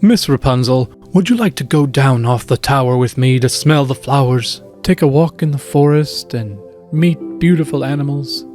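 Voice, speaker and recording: A man playing an earnest, friendly young prince in an animated fairy tale, polite and gently reassuring. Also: medium pitch, normal speed, soft background music, lightly acted. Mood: courteous.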